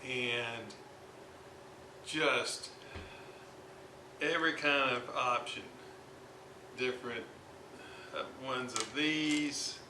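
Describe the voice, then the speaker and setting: A man presents a hands-on repair in an informal, halting way, thinking aloud as he goes. His delivery is slow, with long gaps between phrases. The recording is amateur, with clear room echo and plenty of noise behind the voice. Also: medium pitch. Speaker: hobbyist radio restorer presenting his repair. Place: indoors at a repair workbench. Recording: roomy, noisy amateur workshop recording.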